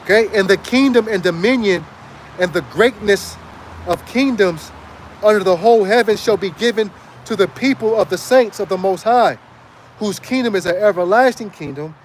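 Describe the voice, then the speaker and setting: Street preacher, man, high voice, hoarse, emphatic and repetitive, faint traffic behind. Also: moderate pace. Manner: emphatic, repeats phrases and asks "right?". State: hoarse, losing his voice. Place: outdoors on a city street.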